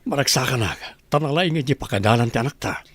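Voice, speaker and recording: A man with an Ilocano accent playing a worried middle-aged father in a radio drama, speaking gravely. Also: mid-range voice, animated, fast talker, fairly bright tone, no echo. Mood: earnest.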